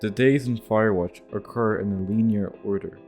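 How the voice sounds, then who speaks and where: A man's voice: lowish voice, fairly even intonation, normal speed, a little mellow. A man narrating casual, scripted video game commentary in an offhand, irreverent way. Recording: music behind the voice. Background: guitar music.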